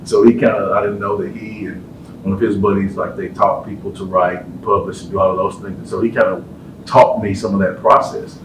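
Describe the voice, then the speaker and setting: A man with a low voice hosts a college panel and tells loose, laughing stories in casual chat. He sounds set back from the mic. An echoey hall and clear hiss surround his voice. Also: steady tone, brisk, distant mic. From Texas.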